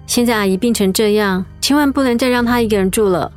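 A woman voicing a caring young niece in a radio drama, gentle and polite in her speech. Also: a little high, fast, soft background music, natural voice. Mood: concerned.

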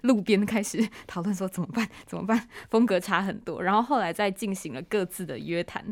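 A woman's voice: medium pitch, brisk. A woman with a Taiwanese Mandarin accent hosting a conversational podcast interview, explaining things and readily agreeing as she talks. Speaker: podcast host and audio drama writer.

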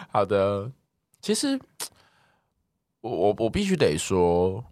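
A Taiwanese man talks as a podcast co-host in a lowish, very animated voice. His delivery is casual and sincere, and the recording is close and dry. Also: drawn-out words, no echo. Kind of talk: casual chat.

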